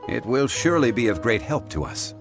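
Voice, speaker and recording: An actor playing a calm, formal ancient scholar-god who lectures in a measured way, in a game cutscene. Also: male voice, medium pitch. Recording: game audio with music.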